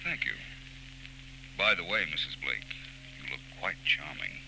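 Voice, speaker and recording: An actor playing a suave, self-assured private detective with urbane, gallant, wryly conceited wit in 1940s radio drama style. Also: male voice, rumbling low, sing-song, unhurried, gravelly, heavily acted. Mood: suave, flirtatious. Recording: noisy old 1940s radio tape.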